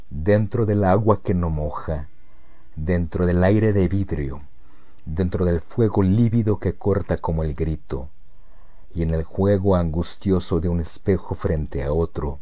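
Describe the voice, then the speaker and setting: A man reciting poetry in a solemn, measured and deliberate manner, with pauses between phrases. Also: deep voice, mellow.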